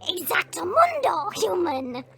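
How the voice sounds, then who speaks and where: High-pitched theatrical goblin witch. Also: big pitch swings, gravelly, sharp tone, soft background music, heavily acted. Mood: pushy, playful. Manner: theatrical, drawn-out, coy delivery. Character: sly, theatrical female goblin witch, weepy when slighted. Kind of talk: fantasy audio roleplay acting.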